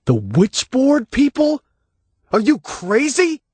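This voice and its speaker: A man voicing a terrified man trapped in a pit, yelling and pleading desperately in a strained, husky voice. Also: fairly high pitch, takes it slowly, no echo, voice pushed up. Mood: panicked screaming.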